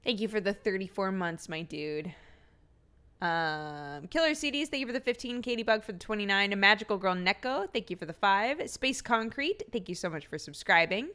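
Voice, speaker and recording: A woman's voice: medium pitch, expressive, moderate pace, pushed and bright, smooth. A woman streamer with a slightly phlegmy throat, chatting casually, laughing often and thanking subscribers one by one. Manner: giggly, laughs often between lines.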